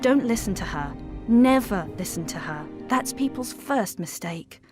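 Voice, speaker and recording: A woman's voice: mid-range voice, animated, a little slow, lightly acted. An actress playing a stern, exasperated elder-sister noblewoman in a video game, speaking in a curt, scornful way. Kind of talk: video game character acting. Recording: game music behind.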